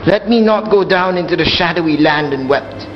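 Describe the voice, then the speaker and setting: A man's voice: sits high, normal speed, natural voice. A man playing the solemn, pleading ghost of a drowned husband in an amateur radio play. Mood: mournful pleading. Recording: muffled, faint noise, long reverb.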